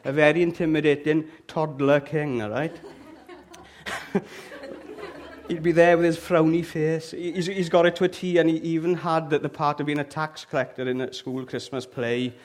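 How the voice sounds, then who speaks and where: A Welsh man in middle age, talking to a church crowd in a relaxed, joking way. His voice sits a little higher than most men's, and he keeps checking in with the room as he goes. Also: moderate pace. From Wales. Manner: chatty and humorous, often checks in with "okay?" and "all right?". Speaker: church speaker. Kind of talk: lively, joking sermon to a live congregation. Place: church hall with an audience.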